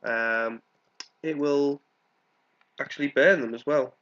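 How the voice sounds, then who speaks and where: A man's voice: medium pitch, slow, a bit bright. A man with a congested, cold-affected voice giving casual, rambling let's play commentary as the host of a gaming video. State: congested with a cold, coughing now and then. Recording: dull, lo-fi microphone sound.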